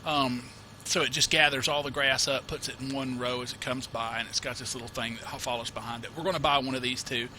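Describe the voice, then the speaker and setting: A man's voice: mid-range voice, fairly even intonation, quick pace, hardly any pauses, noisy background. A man with a cold, sniffling a little, casually narrating a farming game tutorial, rambling and correcting himself with lots of fillers. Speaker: gameplay tutorial host. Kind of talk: casual let's-play commentary.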